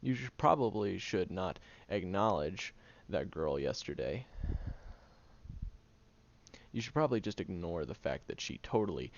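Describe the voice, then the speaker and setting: Man acting as a very gravelly, brash, caring young guy. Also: expressive, heavily acted. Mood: exasperated, sarcastic. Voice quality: gravelly, low. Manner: blunt, grumbling, sighing, halting delivery. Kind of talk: audio roleplay acting, one-sided dialogue addressed to the listener. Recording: dull home recording with faint noise.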